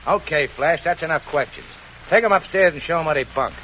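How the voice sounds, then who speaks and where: An actor playing a brash, impatient gangster boss who barks orders, in 1940s radio acting. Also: male voice, a little high, fairly quick, lightly acted. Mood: bossy. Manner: gruff, impatient, barking orders. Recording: dull old radio recording with hiss.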